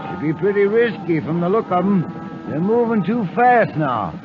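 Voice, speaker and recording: An actor playing a cautious cowboy companion with an American accent in a 1950s radio western. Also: male voice, high-pitched, very animated, normal speed. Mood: cautious, concerned. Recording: muffled old radio recording with music.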